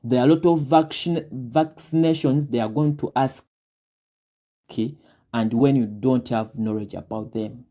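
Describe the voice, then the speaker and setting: A young man with a Ugandan accent, a guest recruit talking deliberately through his advice with many fillers. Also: mid-range voice, steady tone, dark tone. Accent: Ugandan English. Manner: deliberate, breaks words down slowly with many fillers. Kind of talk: advice talk on a live Q&A.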